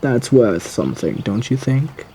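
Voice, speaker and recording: A man voicing a suave, sinister gentleman lurking in the woods, in a theatrical, genteel ASMR roleplay with a husky voice. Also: mid-range voice, lively intonation. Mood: darkly amused. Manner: theatrical, genteel, drawn-out phrasing with endearments. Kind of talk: ASMR audio roleplay monologue.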